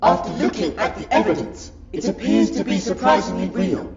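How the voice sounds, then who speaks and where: Man voicing a gravelly, haughty reptilian alien. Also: high voice, moderate pace. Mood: grave, resolved. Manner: pompous, scornful, formal declaiming. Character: haughty, contemptuous reptilian Silurian male in royal court. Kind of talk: sci-fi audio drama acting. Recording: dull, echoey, under very loud music.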